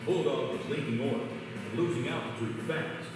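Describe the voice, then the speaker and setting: A man's voice: medium pitch, lively intonation, normal speed. A man reading a children's read-along story as a scripted narrator. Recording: echoey hall, distant, music behind. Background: music.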